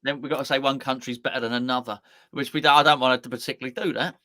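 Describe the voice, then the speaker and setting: A man's voice: medium pitch, steady tone, over a noisy crowd. A man co-hosting a casual live football chat, rambling and restarting his sentences often. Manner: rambling, halting with repeated restarts. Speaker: co-host.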